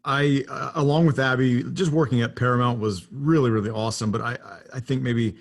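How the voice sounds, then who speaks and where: A man's voice: mid-range voice, a little fast, creaky. A man chatting casually as a panelist, hesitating and repeating himself. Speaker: panelist at a cast reunion. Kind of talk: casual chat.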